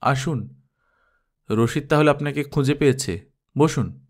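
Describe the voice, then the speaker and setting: A man's voice: medium pitch, measured pace, lightly acted. A man voicing a composed young woman boss in an audiobook, speaking in a matter-of-fact, decisive way. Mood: casual, brisk. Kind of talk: audiobook character dialogue.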